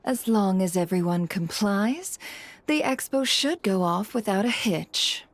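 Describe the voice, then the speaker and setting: A woman voicing a poised, shrewd female guild leader in a video game, composed, deliberate and businesslike. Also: mid-range voice, lively intonation, dry recording. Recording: music behind the voice.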